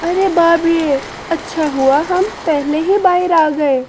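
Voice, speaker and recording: A woman's voice: very high voice, takes it slowly, noisy background, voice pushed up. A woman voicing an anxious, plaintive mother bird in a children's cartoon. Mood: alarmed. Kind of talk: Hindi children's cartoon story acting.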